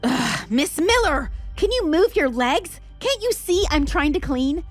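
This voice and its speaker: A woman's voice: sits high, sing-song, measured pace. A woman voicing a rude, irritable office cleaner in an audio drama, snappy and contemptuous. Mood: annoyed. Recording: music under the voice.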